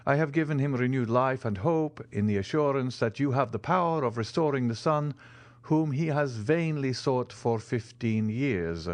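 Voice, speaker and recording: A measured, composed count, polite and in control, with a lively lift in his phrasing. A man reads him in a storybook. The sound is dry and close. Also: mid-range voice, dry recording, voice pushed up, lightly acted. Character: cool, composed wealthy count.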